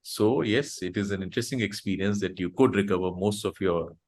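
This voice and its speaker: A man's voice: deep voice, a little fast, a little mellow. Hesitant Indian man hosting a video chat. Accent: Indian English. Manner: hesitant, with many "uh" fillers and restarts. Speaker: host of an online support group chat. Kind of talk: casual conversational interview.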